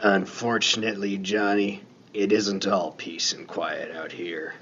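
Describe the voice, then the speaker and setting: A man playing a laconic, folksy lighthouse keeper in a radio play, talking in a plain, unhurried, colloquial way. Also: low-pitched, flat delivery, a bit bright, muffled recording, slight background noise. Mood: folksy, guarded. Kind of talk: 1950s-set radio play dialogue.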